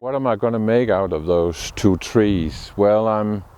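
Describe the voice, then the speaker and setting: A man from Denmark, a sculptor, speaking in a documentary interview with thoughtful, unhurried restarts. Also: on the low side, a little mellow, smooth. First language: Danish.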